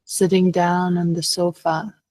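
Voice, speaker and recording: A woman leading a guided meditation as a teacher, speaking gently and unhurriedly with long pauses. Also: lowish voice, level tone, no echo, poor audio.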